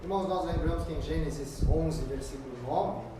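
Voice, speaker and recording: Pastor, bit high voice, preaching in a big echoey church, mic far off, noisy recording. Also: male voice. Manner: emphatic, stretches key words slowly, swings loud and soft. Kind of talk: expository sermon. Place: church sanctuary.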